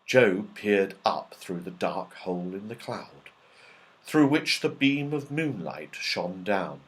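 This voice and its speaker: A man reads a children's story with expressive, dramatic delivery. His pitch moves in lively swings, and he keeps an unhurried pace. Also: rough recording. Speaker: audiobook reader. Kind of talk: animated children's storybook narration.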